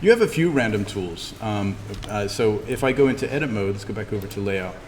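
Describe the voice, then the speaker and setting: A man giving an informal in-class software tutorial, explaining step by step as he demonstrates. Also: fairly low pitch, lively intonation, a little fast. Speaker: instructor. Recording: reverberant room with background noise.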